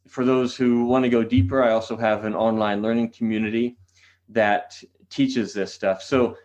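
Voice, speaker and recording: Earnest man, slightly muffled audio. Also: medium pitch. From San Diego, California. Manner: earnest, restarts phrases often. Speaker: qigong teacher, guest. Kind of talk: podcast interview answers.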